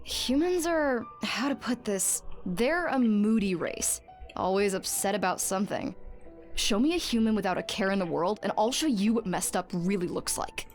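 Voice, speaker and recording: A woman playing a wry, jaded, sardonic bathhouse worker in a video game, with a deadpan manner. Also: mid-range voice, normal speed, lightly acted. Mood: dry, sardonic. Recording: game music behind.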